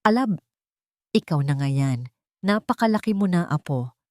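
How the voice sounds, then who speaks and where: A narrator puts on an elderly grandmother, frail and affectionate. She speaks haltingly and with wonder in a dry-sounding audio drama. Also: fairly low pitch, lively intonation, darkish tone, dry recording, natural voice. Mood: moved wonder.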